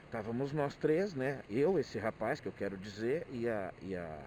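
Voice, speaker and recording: A man's voice: mid-range voice, animated, normal speed, darkish tone, a little hiss or hum. A middle-aged man with a Brazilian accent, thinking aloud in a casual, hesitant monologue where he often repeats words. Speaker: motorcyclist vlogger. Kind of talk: casual rambling monologue, personal reflections.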